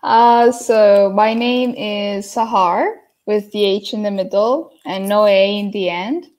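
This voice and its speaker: A woman's voice: medium pitch, takes it slowly, darkish tone. A young woman from the United States, an English teacher chatting casually on a home video call, friendly and laughing often. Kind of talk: casual livestream lesson chat. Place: small room at home, indoors. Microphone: laptop mic on a video call.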